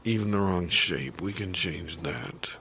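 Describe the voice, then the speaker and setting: Man playing stubborn, gravelly, very deep-voiced returns clerk. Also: lively intonation, unhurried, sharp tone, heavily acted. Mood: grudging. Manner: grudging, repetitive. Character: stubborn, irritable male returns clerk, Mister Quisling. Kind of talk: improvised audio comedy sketch. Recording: clear background ambience noise.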